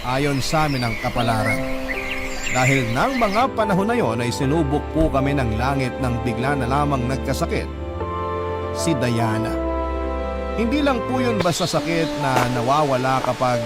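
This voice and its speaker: A man's voice: mid-range voice, lively intonation, normal speed. Earnest male narrator over music. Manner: earnest, polite confessional. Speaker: letter writer narrating his story. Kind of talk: scripted dramatic narration. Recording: music bed under narration.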